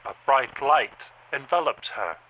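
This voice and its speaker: An actor playing a cold, detached security camera that reports what it sees in a halting, deliberate monotone for an audio drama. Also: male voice, mid-range voice, highs cut off, close to an everyday voice. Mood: detached, watchful. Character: male security camera, cold detached slow-thinking machine observer. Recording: clearly noisy background.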